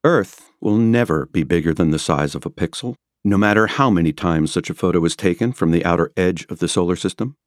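A man reading nonfiction as a scripted audiobook narration, with measured, rhetorical and emphatic phrasing. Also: deep voice, dry recording, studio quality. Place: close, dry studio recording.

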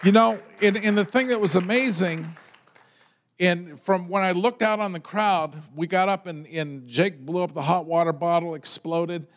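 High-voiced man preaching a sermon. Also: moderate pace, a faint crowd. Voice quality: loud voice. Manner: repeats words when building a point, conversational preaching. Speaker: pastor.

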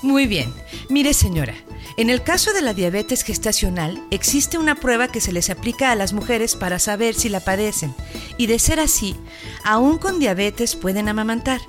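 An actress plays a Mexican health helpline operator. Her voice is fairly high, over clearly audible music. She is polite and informative in a scripted radio announcement. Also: lightly acted.

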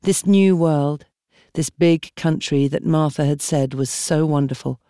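A woman reading a novel as an audiobook narrator, in measured, expressive storytelling. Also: low voice, no echo, clean studio sound. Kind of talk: scripted literary narration. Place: dry studio.

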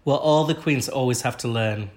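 A man's voice: mid-range voice, darkish tone. Bunged-up man recapping TV. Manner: chatty, opinionated, quick asides. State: bunged up with a cold. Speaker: podcast co-host. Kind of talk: casual TV recap chat.

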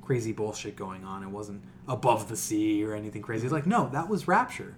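A man co-hosting a podcast, talking casually and opinionatedly, with animated exasperation and casual swearing. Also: fairly low pitch, brisk, slight background noise. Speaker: podcast co-host.

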